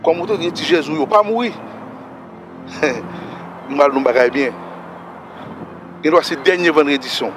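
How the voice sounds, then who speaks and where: A man's voice: fairly high pitch, a little slow, pauses often, noisy background. A middle-aged man, performing a staged comedic confrontation outdoors in a park, taunting and challenging the other man. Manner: taunting, challenging, shouts repeated dares. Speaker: comic sketch performer. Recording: music bed under the voices.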